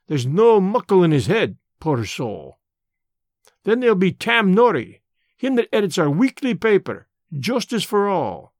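A man's voice: sits high, big pitch swings, unhurried, dry recording, clean studio sound. Man voicing a fiery old Scottish radical. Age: older. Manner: broad dialect, forceful and opinionated. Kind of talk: audiobook character voice.

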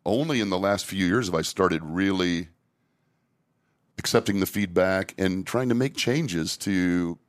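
A man's voice: sits low, measured pace. A middle-aged man hosting a casual podcast conversation about safety training, speaking candidly with frequent restarts.

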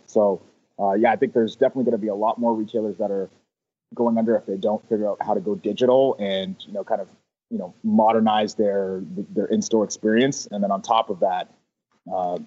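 Low mellow man from Canada, lo-fi. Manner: hesitant, many fillers and restarts. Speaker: guest, sales rep. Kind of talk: casual interview answers. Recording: lo-fi call audio.

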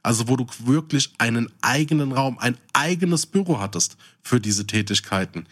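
A man's voice: mid-range voice, animated, normal speed, a bit bright. Man chatting casually as podcast co-host. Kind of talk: casual chat.

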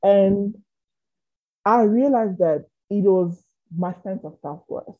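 A woman's voice: medium pitch, slow pace. A woman from South Africa, a business coach, answering interview questions in an earnest and open way. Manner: earnest, openly sharing. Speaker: business and marketing coach, guest. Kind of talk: conversational interview. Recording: tinny phone-like line.

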